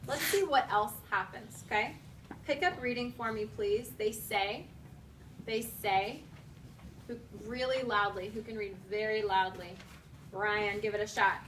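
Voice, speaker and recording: A woman's voice: mid-range voice, a little slow, recorded from a distance. A woman teaching a class, giving clipped instructions and reading aloud expressively. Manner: directive, clipped commands alternating with expressive reading. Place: school classroom with pupils. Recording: noisy classroom, voice off the mic.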